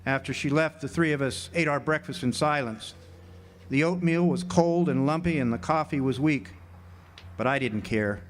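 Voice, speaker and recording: A man's voice: on the high side, normal speed, close to an everyday voice. An actor playing a wry, hard-boiled drifter who narrates his own tale in a live noir radio drama. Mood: brooding, wry. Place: live theater stage. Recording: noisy live amphitheater stage recording.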